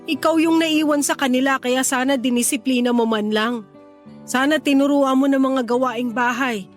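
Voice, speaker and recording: A woman's voice: high voice, normal speed, natural voice. A woman playing a hurt, indignant middle-aged wife and mother in a radio drama, pleading and reproachful, with a Filipino accent. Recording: faint music bed behind voice.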